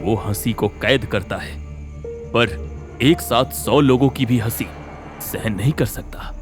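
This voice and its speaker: Slow, solemn village holy man, acted by a man. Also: medium pitch, music in the background, natural voice. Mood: solemn explaining. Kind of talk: Hindi animated moral horror story acting.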